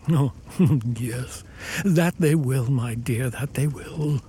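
A man playing a kindly, grateful, blind elderly father in a radio play, with unhurried, old-fashioned phrasing. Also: mid-range voice, warm tone, a little hiss or hum, lightly acted. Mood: fond, amused.

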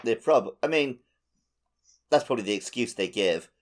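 A man's voice: mid-range voice, expressive, moderate pace, slight background noise. A man co-hosting a gaming podcast in casual chat, with a dry, joking manner. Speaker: podcast co-host. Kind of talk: casual chat about a video game.

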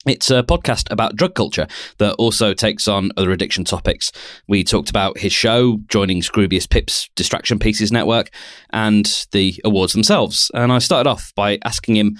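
Host: man with a lowish voice, dry close mic, deadpan self-mocking patter. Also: moderate pace, dry recording. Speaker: podcast host. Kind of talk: scripted intro and interview questions.

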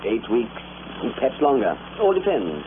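An actor playing a mild, fussy explorer husband in old-time radio drama, speaking politely and precisely. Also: male voice, mid-range voice, big pitch swings, moderate pace, lightly acted. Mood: mild, apologetic. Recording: tinny, noisy vintage radio recording.

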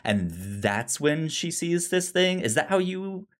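A man talking casually about a film as a podcast co-host, halting and often stammering on repeated syllables. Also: fairly high pitch, big pitch swings, fairly bright tone.

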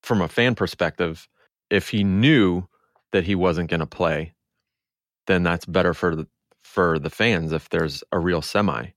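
A man's voice: sits low, a little slow, dry recording, clean studio sound. A man hosting a podcast, talking through sports in a casual discussion style. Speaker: podcast host.

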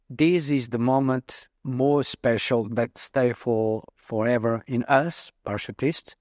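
A man in his early fifties from Portugal, a native Portuguese speaker, answering interview questions in halting English with many pauses and fillers. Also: medium pitch, darkish tone, no echo, clean studio sound. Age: 50s. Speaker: guest.

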